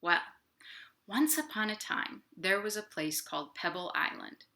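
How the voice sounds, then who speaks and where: A woman's voice: mid-range voice, animated, unhurried, bright, forceful tone. A woman hosting a children's storytime from home, speaking directly to young listeners as she tells stories. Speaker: library storytime host. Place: at home, indoors.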